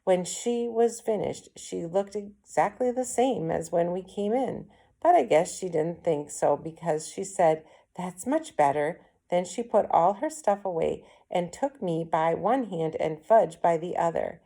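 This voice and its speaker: A woman's voice: lowish voice, a little slow, gravelly, dark tone. A woman reading a children's story aloud as a first-person narrator. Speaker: read-aloud reader.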